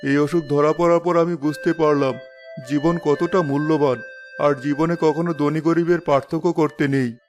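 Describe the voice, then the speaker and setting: An actor playing a rich, ailing, repentant husband in a cartoon moral story, solemn and earnest. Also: male voice, fairly high pitch, fairly even intonation, moderate pace, natural voice. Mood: remorseful. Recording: music behind the voice.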